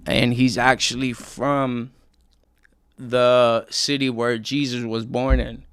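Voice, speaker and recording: A man's voice: medium pitch, level tone, slow, fairly bright tone, clean tone, no room sound. Laid-back young man telling his story. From Colombia. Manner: laid-back slangy storytelling, many fillers. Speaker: interview guest, rapper. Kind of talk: casual interview talk.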